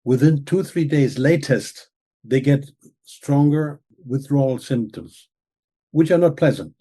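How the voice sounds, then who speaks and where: Guy lecturing earnestly, dark husky voice, keeps saying okay? right?. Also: mid-range voice, a little slow. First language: German. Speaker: author and guest expert. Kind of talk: explaining in an interview.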